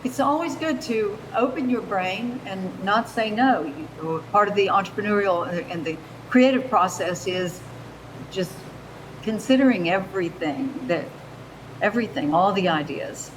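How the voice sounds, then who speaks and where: A woman moderating a public session informally, encouraging and pausing as she goes, in a noisy recording. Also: expressive. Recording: noisy room recording with low rumble.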